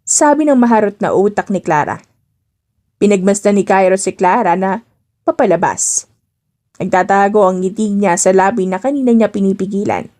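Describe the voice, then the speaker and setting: A woman's voice: mid-range voice, moderate pace, dark tone. A woman narrating a scripted romance story as an audiobook narrator, in a dramatic storytelling style.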